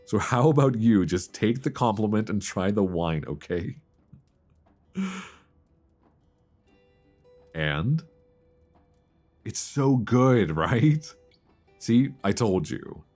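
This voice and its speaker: A man's voice: on the low side, expressive, takes it slowly, a little mellow, faint music behind. A man playing a playful, easily flustered old friend in an intimate, conversational romantic audio roleplay, teasing and stammering as he chats. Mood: teasing, flirty. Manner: teasing, stammering, chuckling banter.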